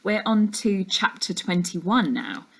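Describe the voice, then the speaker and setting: A woman's voice: mid-range voice, expressive, slow pace, creaky, fairly bright tone. Woman teacher narrating a children's novel. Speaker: teacher reading the class book.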